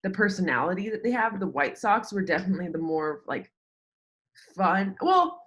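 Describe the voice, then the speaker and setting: A woman's voice: mid-range voice, very animated, normal speed, fairly bright tone. A woman in her twenties with an American accent, chatting casually as an interview guest and peppering her sentences with "like". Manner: informal, chatty, heavy use of "like". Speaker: podcast guest, sports social media worker.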